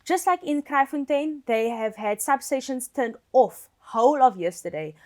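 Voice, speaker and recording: A bubbly young woman with a South African accent hosts a student talk show, chatting and laughing easily. Her voice sits a little high. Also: animated, moderate pace, darkish tone. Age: young adult. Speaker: host.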